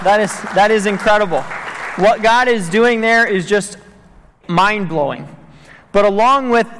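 A man's voice: high-pitched, fairly bright tone. A man in his thirties, a missionary who grew up in Tanzania, speaking earnestly to a church congregation with many fillers. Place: church sanctuary. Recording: long church hall reverb.

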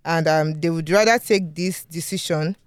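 A podcast host with a Yoruba-accented Nigerian English accent, chatting casually in a studio and going over news with many ums and pauses. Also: medium pitch, smooth. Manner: hesitant, many ums, laughs often.

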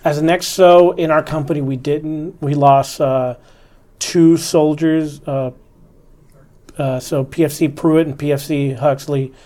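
A man's voice: fairly high pitch, fairly even intonation, unhurried, mellow. A man in his forties, an army officer giving an interview, talking earnestly and instructively about the lessons he has learned. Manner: earnest, instructive, stresses fundamentals. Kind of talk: reflective interview talk.

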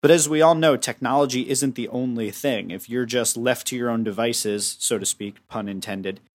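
A young man hosts a podcast in a voice of ordinary middle pitch. He talks in long, winding sentences with small restarts, recapping what his guest said before he moves to the next question. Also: moderate pace. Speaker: podcast host. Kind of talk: casual interview chat.

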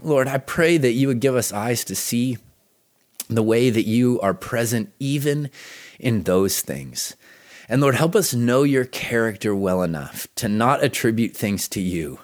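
A man delivers a scripted devotional in an earnest, pastoral way. His mid-pitched voice moves up and down freely, and he leans on key words with deliberate pauses. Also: darkish tone. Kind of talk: devotional reading, scripted narration.

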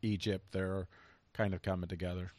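An American man hosting a casual political talk show, speaking in a halting way and repeating words for emphasis. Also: low-pitched, little pitch movement, darkish tone, no echo, clean studio sound.

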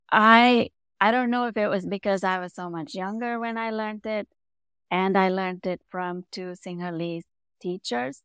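A middle-aged woman from Sri Lanka with a Sri Lankan accent, a native Tamil speaker, telling her story as an interview guest and growing animated as she goes. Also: clean tone, dry recording. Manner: animated, rising in pitch and volume when telling a story. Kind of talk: conversational storytelling.

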